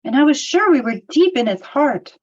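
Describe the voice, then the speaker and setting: A woman's voice: sits high, animated, moderate pace, dull audio. A woman playing a thoughtful, cautious young hobbit in a script table read, earnest and questioning.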